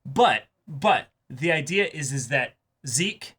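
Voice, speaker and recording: Casting: male reaction co-host, bright sharp tone, casual but emphatic, repeats words while working through ideas. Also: a little high, animated, slow, husky. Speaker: co-host of anime reaction show. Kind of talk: casual excited discussion.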